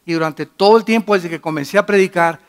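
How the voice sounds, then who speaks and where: Emphatic pastor with high voice. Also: male voice, quick pace. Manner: emphatic, swings between loud and hushed. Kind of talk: sermon.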